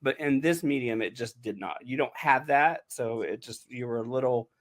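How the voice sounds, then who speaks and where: A man's voice: medium pitch, moderate pace. A man talking informally and casually as a podcast host about making an audio drama. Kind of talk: casual behind-the-scenes podcast chat.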